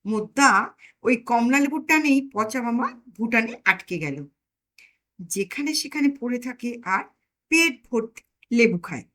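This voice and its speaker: A woman narrating a comic audio story in an expressive, emphatic storytelling manner. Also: fairly high pitch, measured pace.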